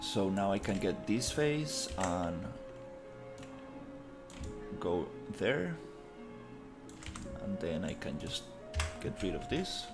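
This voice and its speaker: A man's voice: low voice, sing-song, slow pace, long pauses, music in the background. A man chatting casually on a live stream while he models in 3D, rambling with lots of fillers. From Costa Rica. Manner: laid-back, rambling, many fillers, muttering through his work.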